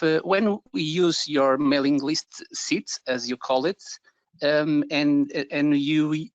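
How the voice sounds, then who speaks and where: A Portuguese man, speaking English with an accent, asks questions through a computer mic on a video call. His voice is a little high and comes through dull and lo-fi. He talks hesitantly and politely, stopping often to find his words. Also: dry recording. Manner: hesitant, many fillers and restarts, polite. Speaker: webinar attendee asking questions.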